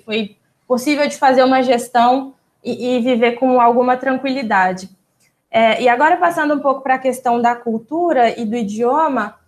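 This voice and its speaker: A young Brazilian woman, a doctoral student, answering interview questions in a relaxed, explanatory way, with frequent small fillers and restarts. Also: high-pitched. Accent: Brazilian Portuguese. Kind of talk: casual interview storytelling.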